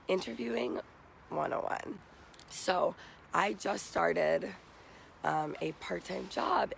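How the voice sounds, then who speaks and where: Raspy, creaky young American man. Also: sits high, a bit bright, a little hiss or hum, low-quality recording. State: morning hoarseness. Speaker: vlogger giving job interview tips. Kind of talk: casual advice vlog. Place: outdoors.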